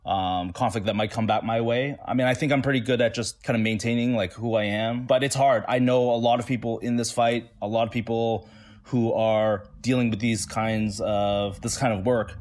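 A man from Toronto answering interview questions in a relaxed way, with many fillers and restarts. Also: medium pitch, normal speed. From Toronto, Canada. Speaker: interview guest.

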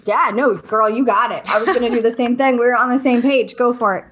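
A woman's voice: on the high side, fairly even intonation, fairly quick, fairly bright tone, slight background noise. A woman from southern Florida co-hosting a podcast, talking in an informal, chatty, self-deprecating way. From southern Florida, United States. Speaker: podcast co-host. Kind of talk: casual conversational podcast chat.

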